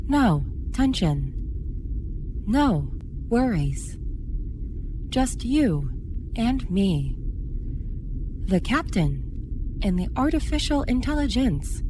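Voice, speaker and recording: A female starship artificial intelligence, acted by a woman in a sci-fi horror audio roleplay, speaks in a high voice with wide, lilting intonation. She is formal and precise, soothing and devoted, with a quiet possessiveness beneath the courtesy. Clear background noise runs behind her. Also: very animated, extremely slow, pauses often, exaggerated voice. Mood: soothing, eerie. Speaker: ship's AI assistant.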